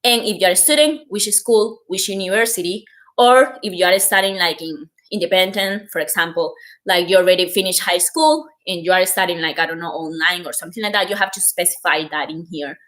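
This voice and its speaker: A woman's voice: fairly low pitch, fairly quick, fairly bright tone. A woman from Costa Rica with a Costa Rican Spanish accent, explaining things informally on a livestream and stressing key points with frequent filler words. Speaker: host giving application advice.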